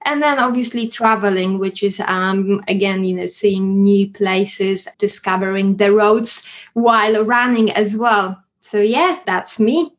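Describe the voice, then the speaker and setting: A woman with a Polish accent talks through her own story in a relaxed, chatty way. She speaks at a middle pitch, often pausing on fillers and restarting her thoughts. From Poland. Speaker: guest, property investor. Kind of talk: casual interview introduction.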